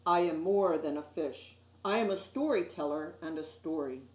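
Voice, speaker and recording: A woman voicing a huge, wise old male fish from a children's storybook, speaking low and slowly in a solemn, soothing way. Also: darkish tone, lightly acted. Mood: solemn, urging. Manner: slow, solemn, deliberate delivery. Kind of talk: children's storybook read aloud. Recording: roomy, echoey sound.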